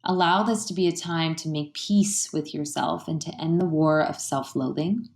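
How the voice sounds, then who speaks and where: A woman leading a guided meditation in a gentle, soothing, unhurried way, leaving pauses between phrases. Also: low voice, creaky. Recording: roomy, echoey sound.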